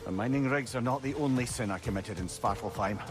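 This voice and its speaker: A man's voice: medium pitch, fast, over music, lightly acted. A man with a Scottish accent playing a learned, talkative older advisor in a video game, wordy and wry with a note of remorse. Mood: remorseful, confessional. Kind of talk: cinematic video game acting. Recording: clear background ambient noise.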